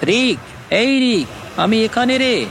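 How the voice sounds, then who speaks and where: A man's voice: extremely high pitch, expressive, slow, heavily acted. A man voicing an eager, familiar young friend in a cartoon story. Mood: concerned, urging. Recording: noisy background ambience.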